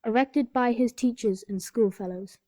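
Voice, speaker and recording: Radio play: a woman reading logbook entries, mellow tone, dry close mic. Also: fairly high pitch, normal speed, no echo, natural voice. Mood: sober.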